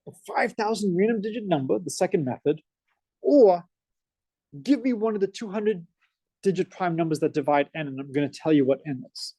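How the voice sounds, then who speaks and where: A man's voice: high-pitched, a little fast, no echo. A man with a Boston accent giving a college math lecture, asking the class questions as he goes. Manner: energetic, asks the class questions. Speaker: math professor.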